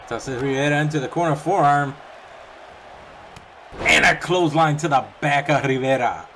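A young man doing play-by-play commentary over a wrestling video game, chatty and casual between calls and getting excited on the big moves. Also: on the high side, normal speed, slight background noise. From Puerto Rican background. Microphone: home microphone, voice-over on game footage.

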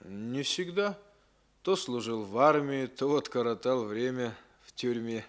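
An actor in a 1960s radio play, playing a nervous, evasive ex-convict under questioning who hesitates and repeats himself. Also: male voice, mid-range voice, a little slow, natural voice. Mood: evasive, guarded. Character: cagey, nervous male ex-convict crime suspect under questioning.

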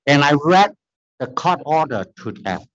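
A middle-aged man with a Chinese accent and Chinese as his first language, testifying at a formal hearing in halting, earnest, insistent English from a lectern. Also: on the high side, sing-song, fairly bright tone, dull audio. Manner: halting non-native phrasing, earnest and insistent. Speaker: applicant appealing a denial. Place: large hearing room, standing at a lectern.

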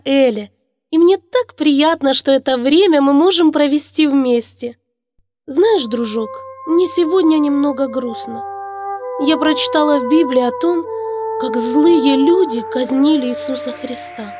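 A woman's voice: high-pitched, expressive, a little slow, a little mellow, faint music behind. A woman voicing a sweet, tender-hearted, curious little girl in a children's puppet show, with a naive childlike manner. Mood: friendly, then sad, tearful.

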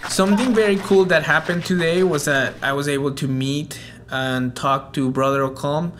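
A man's voice: on the high side, fairly even intonation, moderate pace, a bit bright. A young adult man originally from Venezuela, a vlog host talking in an upbeat, enthusiastic way outdoors. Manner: upbeat, enthusiastic, laughs often. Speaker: vlog host and running coach. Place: outdoors in a sunny field. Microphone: camera mic from a distance. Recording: distant outdoor mic, faint noise.

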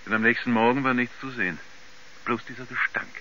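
An actor playing a folksy, skeptical neighbour man with a halting, dismissive way of talking in a radio drama. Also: medium pitch, steady tone, sharp tone, lo-fi sound, lightly acted. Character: folksy, skeptical male neighbour, chatty and hesitant. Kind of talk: 1970s German radio drama acting. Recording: hissy, band-limited 1970s radio recording.

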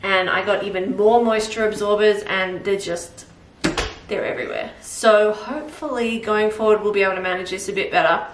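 A woman's voice: mid-range voice, fairly even intonation, moderate pace, a little hiss or hum. Aussie woman in her 30s just chatting away in her kitchen, lots of ums, bit far from the mic. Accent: Australian. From Australia. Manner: rambling, chatty, thinking aloud with fillers. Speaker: vlogger. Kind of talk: casual cook-along vlog chat. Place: home kitchen, indoors. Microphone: camera mic a few feet away. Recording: roomy kitchen echo, voice from off-mic.

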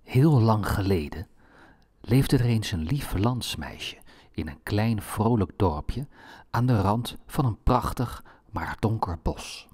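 A man narrating a fairy tale from a script in a measured storybook manner. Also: fairly low pitch, a little mellow, breathy edge.

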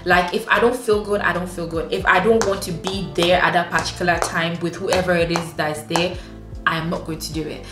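A young woman in her twenties talks straight to camera in a frank, emphatic way, with a slightly low voice. The room around her echoes, and faint music plays underneath. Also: fairly bright tone. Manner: frank and emphatic, addresses viewers as sis, laughs easily. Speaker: lifestyle vlogger. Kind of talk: candid direct-to-camera advice. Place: home room indoors. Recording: roomy echo with faint music.

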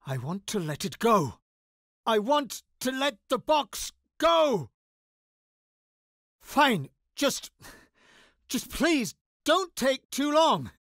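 A wry bookish wizard, highly animated. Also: male voice, extremely slow, pauses often, no echo, clean studio sound, exaggerated voice. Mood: urgent, desperate. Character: bookish wizard, wry, anxious, guarded scholar of devils. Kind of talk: video game voice lines.

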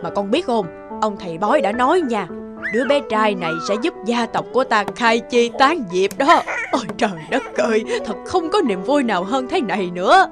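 Woman playing stern old cartoon grandmother, high lively voice, scolding, exclamatory, music behind. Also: fairly quick, close to an everyday voice. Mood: gushing. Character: stern, doting old grandmother who favours her grandson. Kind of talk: cartoon voice acting.

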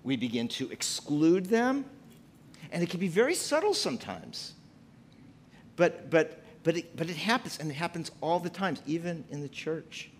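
A man's voice: sing-song, normal speed, a little hiss or hum. A man in his fifties preaching a sermon from a church pulpit, emphatic and gesturing as he speaks. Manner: preaching with wide pitch swings, emphatic and gesturing. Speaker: pastor. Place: church sanctuary at a pulpit, indoors.

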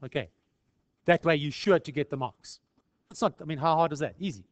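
A man's voice: on the high side, fairly quick, dry recording. A South African man lecturing in an informal tutorial style, conversational and peppered with rhetorical questions. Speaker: accounting lecturer.

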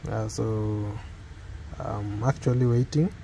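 A man with a Kenyan accent giving an unscripted step-by-step tech tutorial, speaking hesitantly with pauses and repeated phrases. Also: fairly low pitch, fairly even intonation, vocal fry, heavy background noise. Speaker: product tutorial presenter.

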